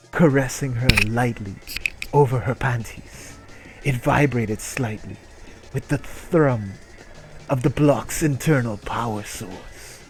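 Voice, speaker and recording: A man giving a comic dramatic reading of an erotic short story in a theatrical narrating manner. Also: mid-range voice. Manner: theatrical narration breaking into incredulous asides and giggles. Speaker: reader performing a dramatic reading.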